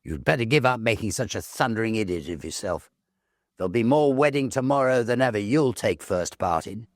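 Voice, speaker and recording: A British man voicing a testy, indignant gentleman in a story reading, with a snappish, emphatic manner. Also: lowish voice, expressive, brisk, no room sound, studio quality, voice pushed up, natural voice. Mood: indignant retort.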